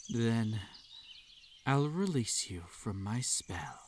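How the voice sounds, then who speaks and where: A man's voice: mid-range voice, very animated, noisy background. A man voicing an obsessive magical bunny in a hypnotic audio roleplay, coaxing and soothing with a desperate edge. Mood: resigned, pleading. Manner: slow, soothing, coaxing delivery. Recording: nature ambience behind the voice.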